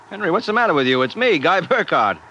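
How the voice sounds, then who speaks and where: An actor playing a jittery, bewildered ordinary office man in a 1950s radio drama, with an excitable, exclamatory manner. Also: high-pitched, normal speed, dull audio, slight background noise, heavily acted. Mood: incredulous.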